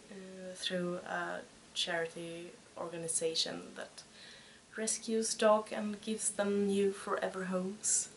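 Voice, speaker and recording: Podcast host, Swedish woman in her twenties, Swedish accent, slow hesitant delivery, lowish voice, faint background noise. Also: a bit bright, poor audio. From Sweden. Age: 20s. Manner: hesitant, searching for words. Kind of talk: casual chat.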